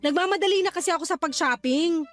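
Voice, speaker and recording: A woman's voice: extremely high pitch, quick pace. A woman playing a boastful, envious Filipino mother in a radio drama, with an exaggerated, swooping delivery. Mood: boastful, showy. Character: boastful, envious Filipino mother, one-upping her neighbor. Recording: light background music under dialogue.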